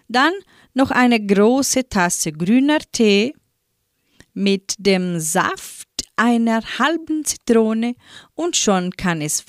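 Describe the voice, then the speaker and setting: A woman presents radio tips and introduces the next songs. Her delivery is slow and relaxed, but her pitch swings cheerfully up and down. The sound is close and dry. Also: no room sound. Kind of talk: scripted tips and song introductions.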